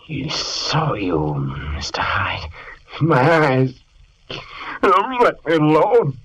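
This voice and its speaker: A man's voice: a little high, huge pitch swings, very slow, slightly rough, highs cut off, heavily acted. An actor playing a tormented Dr. Jekyll, an anguished man who pleads and argues with his darker self in 1940s radio style. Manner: anguished, pleading, shifting to a dark whisper.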